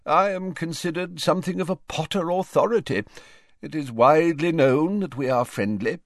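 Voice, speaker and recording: A man's voice: on the high side, normal speed, no echo, studio quality, pitched above the performer's own voice, lightly acted. A man voicing a pompous, easily affronted male ghost in an audiobook, speaking formally and with emphasis. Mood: self-important. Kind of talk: audiobook character voice.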